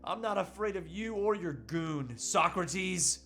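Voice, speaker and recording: Male reader as indignant everyman husband, high-pitched voice, earnest melodramatic defiance, table read, faint music behind. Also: animated, takes it slowly, a bit bright, heavily acted. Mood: resolute. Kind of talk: comedic table read of a movie script.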